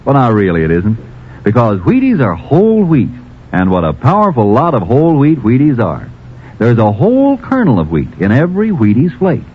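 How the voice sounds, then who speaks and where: A man's voice: medium pitch, huge pitch swings, normal speed, a little hiss or hum. An American man announcing a 1950s radio advertisement in a folksy, emphatic sales style. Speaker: commercial announcer. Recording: muffled vintage radio broadcast.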